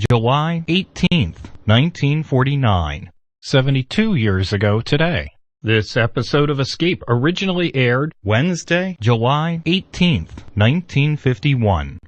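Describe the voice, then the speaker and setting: A man's voice: medium pitch, no room sound. A man reading scripted announcements as a radio station announcer.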